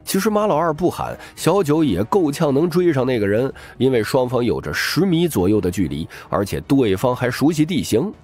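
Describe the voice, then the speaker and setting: A man's voice: medium pitch, very animated, moderate pace, hardly any pauses, a little mellow. A man giving dramatic scripted narration of a crime novel as an audiobook narrator. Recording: music bed behind the voice.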